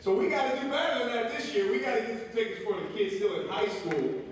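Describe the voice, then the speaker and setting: Middle-aged man talking loudly in an echoey hall. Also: very high-pitched, lively intonation, fast. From Wisconsin, US. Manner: casual, asks the crowd questions, loud voice. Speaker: college basketball coach giving a talk. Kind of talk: motivational talk to students. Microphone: speaking without a microphone, far from the recorder. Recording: echoey hall, distant voice, faint noise.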